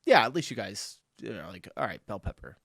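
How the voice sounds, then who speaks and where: A man from the United States hosting a casual reaction video, reading out viewer comments in a relaxed, chuckling way. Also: on the low side, fairly quick, breathy edge, no echo, studio quality. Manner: laid-back, chuckling, reads out viewer comments.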